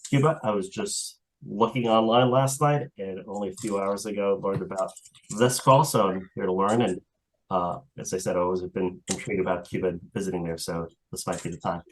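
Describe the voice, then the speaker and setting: A man from New York with a low voice introduces himself over a video call. He pauses often and fills the gaps with uhs and ums. The talk stays plain and casual. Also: steady tone, a little hiss or hum, low-quality recording. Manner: hesitant, many uhs and ums. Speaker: participant on a group call.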